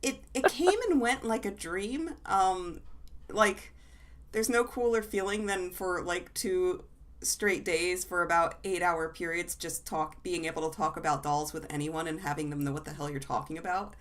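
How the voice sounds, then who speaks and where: A husky woman co-hosting a podcast, chatting casually from her desk with many fillers and restarts while fighting a cough. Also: lowish voice, moderate pace. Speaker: podcast co-host. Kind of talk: casual chat. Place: home desk.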